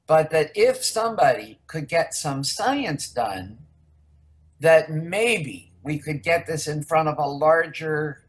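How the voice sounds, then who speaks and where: A woman's voice: sits low, animated. Older American woman telling stories unhurriedly. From United States. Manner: rambling, candid, unhurried storytelling. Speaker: researcher guest. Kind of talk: casual interview.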